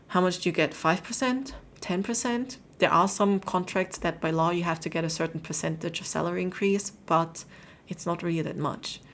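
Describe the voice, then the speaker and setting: A woman from Austria in her thirties talking candidly to camera in a motivational vlog, chatty and casual with some fillers. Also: fairly low pitch. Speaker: vlogger.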